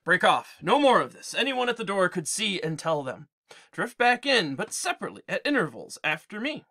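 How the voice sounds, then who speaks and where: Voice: medium pitch, big pitch swings, moderate pace, bright, forceful tone, pitched above the performer's own voice, exaggerated voice. A teacher reading aloud as a disguised old warrior king, firm and giving orders. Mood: commanding.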